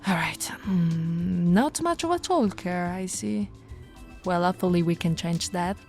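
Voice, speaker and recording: A woman's voice: fairly low pitch, expressive, a little slow, a little mellow, soft background music. Woman acting a flirty, firm policewoman. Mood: calm, professional. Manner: teasing, coaxing, authoritative. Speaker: voice actor in roleplay audio. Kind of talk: ASMR roleplay acting.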